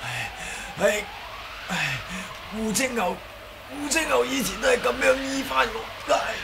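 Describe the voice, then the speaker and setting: An actor playing a terrified, guilt-stricken martial arts sect leader in a 1980s radio drama, wailing and pleading. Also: male voice, very high-pitched, expressive, unhurried, gravelly, full character voice. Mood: groaning, afraid. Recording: noisy old radio drama recording.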